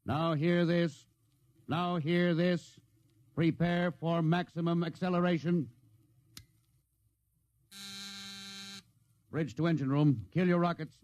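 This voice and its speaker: A man's voice: on the high side, fairly even intonation, a little slow, a little mellow, close to an everyday voice. A male actor as a seasoned spaceship skipper from a 1950s radio play. He is commanding and loyal, with a weary streak, on a dull old recording. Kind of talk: 1950s radio drama acting.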